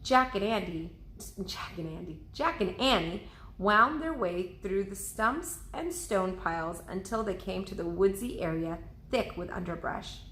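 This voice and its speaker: A woman's voice: mid-range voice, lively intonation, measured pace, a bit bright, a little hiss or hum. A woman reading a children's storybook aloud in the manner of a teacher. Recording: roomy, echoing indoor sound.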